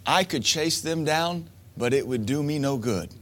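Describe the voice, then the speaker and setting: A man's voice: fairly high pitch, animated, normal speed, fairly bright tone. A man preaching a sermon to men, emphatic and repetitive, asking rhetorical questions. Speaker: pastor.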